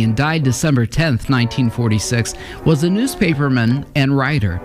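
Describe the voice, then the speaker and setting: A man hosting a vintage radio showcase, reading scripted narration. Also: a little high. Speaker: host introducing vintage radio episodes. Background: music.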